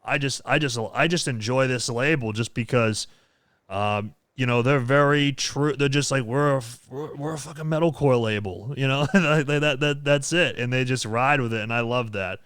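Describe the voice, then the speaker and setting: Chatty, smooth-voiced man hosting a podcast. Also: mid-range voice, normal speed, a bit bright, no echo, clean studio sound. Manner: rambling, laughs often, many restarts. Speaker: podcast host and metal vocalist. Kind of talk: casual podcast chat.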